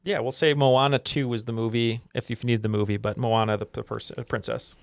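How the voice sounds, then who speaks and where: A man's voice: mid-range voice, fairly even intonation, fairly quick, vocal fry, no echo, clean studio sound. A man playing trivia in a casual chat, thinking aloud and laughing with his team. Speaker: trivia contestant.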